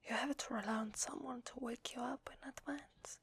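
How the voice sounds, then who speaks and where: A woman with an Italian accent voicing a weary, desperate vampire in a hesitant, breathy ASMR roleplay whisper. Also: a little high, fairly even intonation, fairly bright tone, slight background noise. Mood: weary, confiding. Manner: hesitant, slow, confiding. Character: weary, desperate, apologetic young-sounding female vampire.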